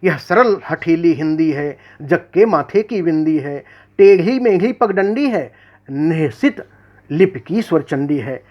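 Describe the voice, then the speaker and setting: A man recites poetry in an unhurried, declamatory way and often repeats a line before moving on. His formal manner fits a poet addressing an audience. The recording sounds dull and lo-fi, with the highs rolled off. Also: animated. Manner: measured, declamatory, repeats verse lines. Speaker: poet and magazine editor.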